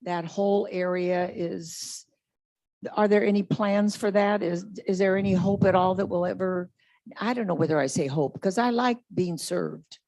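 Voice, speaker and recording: A woman's voice: fairly low pitch, moderate pace, darkish tone. A woman resident asking a question at a community meeting, chatty and wandering, correcting herself as she goes.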